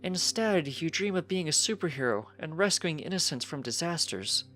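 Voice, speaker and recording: Narrator doing a stately, high-voiced pony princess. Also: animated, a bit bright, soft background music, natural voice. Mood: probing. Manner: formal, probing, deliberate.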